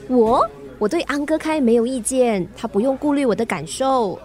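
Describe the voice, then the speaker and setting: A cheeky, impatient young girl in a radio drama. Her voice is clearly high-pitched, that of somebody's outspoken daughter. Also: expressive, normal speed, darkish tone.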